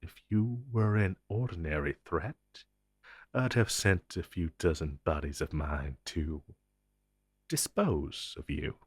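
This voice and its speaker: An ancient telepathic entity voiced by a man addresses the listener in an intense audio drama. The voice is deep and husky, captured close and dry. It speaks with theatrical deliberation, possessive and condescending, a menacing protector of humankind. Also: fairly bright tone, no room sound, exaggerated voice.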